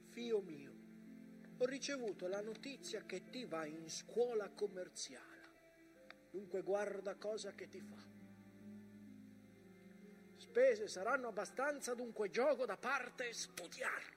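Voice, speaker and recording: A man's voice: sits high, slow pace, pauses often, music in the background. An actor playing a stern, anxious father admonishing his son in a letter, in emphatic, broken dialect-tinged Italian on stage. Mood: fond, earnest. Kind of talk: theatrical letter monologue.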